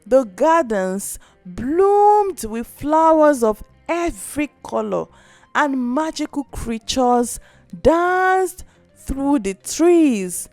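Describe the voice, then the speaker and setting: A woman narrating a scripted folktale as a storyteller, with an expressive, dramatic delivery. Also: high voice, extremely slow, dry recording. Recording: music behind.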